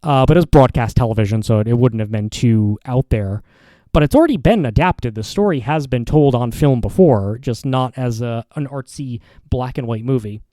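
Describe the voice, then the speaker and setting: Mellow, halting man talking off the cuff. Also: mid-range voice, dry recording. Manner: halting, rambling delivery with frequent repeats and self-corrections. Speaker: podcast host. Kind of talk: casual opinion commentary monologue.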